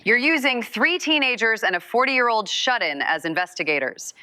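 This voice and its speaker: A woman's voice: a little high, animated, moderate pace, pushed and bright, dry recording. A woman playing a haughty, manipulative mother in an audio drama, speaking in a sardonic, articulate, coolly menacing way. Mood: scornful. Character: haughty, manipulative mother who produces a show. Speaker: voice actor in a scripted mystery podcast.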